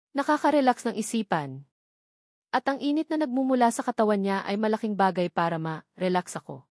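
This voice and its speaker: A woman's voice: medium pitch, a little mellow, dry recording, clean studio sound. A woman reading a first-person romance novel aloud as a scripted audiobook narration.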